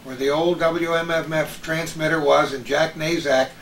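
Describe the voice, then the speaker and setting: A man's voice: a little high, fairly even intonation, normal speed, a bit bright. A man in his sixties hosting a casual, reminiscing conversation. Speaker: host. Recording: roomy, distant mics, faint noise.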